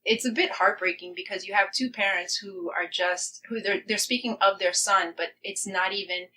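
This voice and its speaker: A woman's voice: mid-range voice, steady tone, moderate pace, pushed and bright, far from the mic, slight background noise, poor audio. A woman talking earnestly and reflectively as a guest in a podcast interview, stressing points by repeating them. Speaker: playwright guest.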